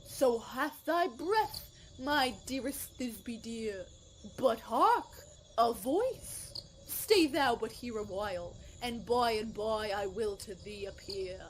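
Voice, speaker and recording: A woman's voice: fairly high pitch, lively intonation, takes it slowly, noisy background. A woman playing an earnest, hammy amateur player declaiming a lovestruck hero's part in a Shakespearean radio play. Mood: grandly earnest. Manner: declaiming, ponderous.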